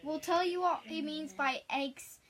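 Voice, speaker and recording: Girl chiming in on her friend's story, really high bright voice, casual chat. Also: unhurried.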